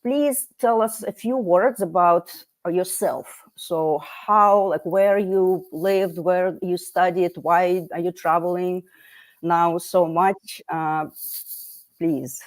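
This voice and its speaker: A woman's voice: lowish voice, fairly even intonation, slow, low-quality recording. A woman hosting an online interview over a video call, talking in a friendly, chatty way with plenty of fillers and restarts. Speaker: host.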